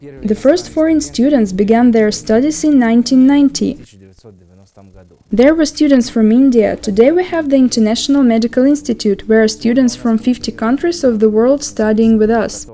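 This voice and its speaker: A woman reading a scripted promotional narration in a measured, formal way. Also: fairly high pitch, mellow. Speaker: voice-over narrator.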